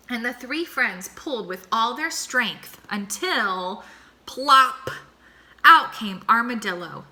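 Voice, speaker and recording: A woman teacher reading a picture book aloud to children, slow and expressive, then posing questions to them. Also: on the high side. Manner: slow, expressive and warmly animated.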